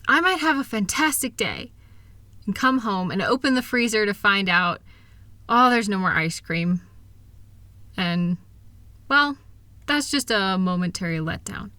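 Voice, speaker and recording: A kind, reassuring wife played by a woman speaks to her partner in an intimate audio roleplay. Her voice is clearly bright and sits at an average pitch for a woman. She is gentle, earnest and encouraging, in a very dry recording without room sound. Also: lively intonation, a little slow, long pauses, dry recording. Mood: understanding, reassuring. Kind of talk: intimate scripted roleplay audio, one-sided conversation.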